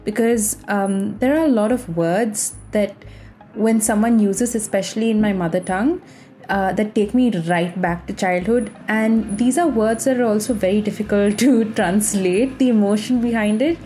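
A woman's voice: medium pitch, normal speed, dark tone, soft background music. A woman from India hosting a podcast, talking in a cheerful, chatty way with some fillers. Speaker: podcast host. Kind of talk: casual podcast talk.

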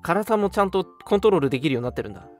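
A man's voice: high voice, very animated, rapid-fire, darkish tone, soft background music. A young man co-hosting a radio show, chatting casually and in an agreeable, responsive manner. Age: young adult. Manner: agreeable, frequent backchannels and laughter. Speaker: radio co-host.

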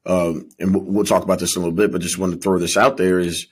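A man hosting a casual sports discussion, speaking in a measured way with wry teasing. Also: sits low, little pitch movement, breathy edge. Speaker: sports podcast host.

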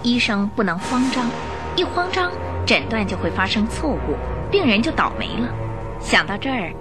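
A woman's voice: fairly high pitch, big pitch swings, normal speed, music in the background. A woman narrator telling a scripted story for a radio drama in a dramatic storytelling style.

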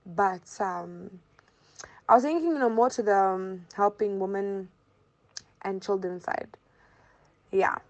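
A woman's voice: mid-range voice, takes it slowly, pauses often, dull audio. A woman in her early twenties with a Zimbabwean accent, chatting informally as an interview guest and often repeating phrases for emphasis. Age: early 20s. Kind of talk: casual conversational interview answers.

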